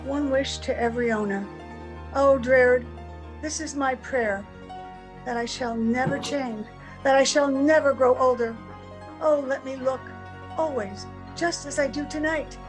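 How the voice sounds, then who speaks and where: Actress as high-voiced, unhurried, youthful wife. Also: female voice, faint music behind. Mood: pleading. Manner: earnest, theatrical. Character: youthful, earnest, sweet wife dreading growing old. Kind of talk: old-time radio drama acting. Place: at home, recorded over video call.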